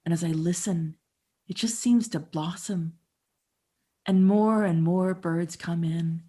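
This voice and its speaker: A Canadian-born woman in her fifties tells her own story on stage as a theatrical monologue. Her voice sits a little low for a woman. She speaks intimately and conversationally, and she lets dramatic pauses hang. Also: dark tone. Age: 50s. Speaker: storyteller and musician. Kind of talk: theatrical first-person monologue.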